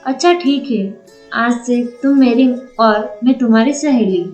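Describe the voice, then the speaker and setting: A woman's voice: on the high side, measured pace, a little mellow, soft background music, natural voice. A woman voicing a timid, friendly little girl in a Hindi moral story cartoon, childlike, in a dull, echoey recording.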